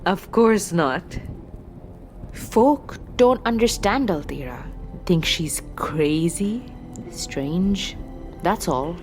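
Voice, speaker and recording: A woman's voice: on the low side, lively intonation, drawn-out words, darkish tone, rough recording. An eccentric fortune-telling witch voiced by a woman for an audio drama. She is cryptic and wry, taking her time over each odd, inverted phrase. Faint music plays underneath. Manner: speaks of herself in third person with inverted phrasing. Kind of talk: audio drama character acting.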